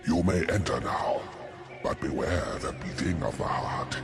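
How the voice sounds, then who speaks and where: Immensely deep, slow demon door. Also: male voice, loud music behind the voice. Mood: ominous, solemn. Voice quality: gravelly, booming. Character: ancient solemn talking demon door, huge and ominous. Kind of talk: fantasy game voice acting.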